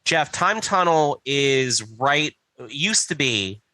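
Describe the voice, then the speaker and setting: A man's voice: fairly high pitch, lively intonation, slow, pushed and bright, no room sound. A man talking casually with friends as a podcast co-host.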